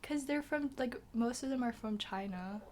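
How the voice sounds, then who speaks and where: A woman in her late teens from Macau with a slightly British-tinged accent, chatting as a podcast guest, full of fillers and trailing into soft half-whispers. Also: fairly high pitch, moderate pace, slight background noise. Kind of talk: casual chat.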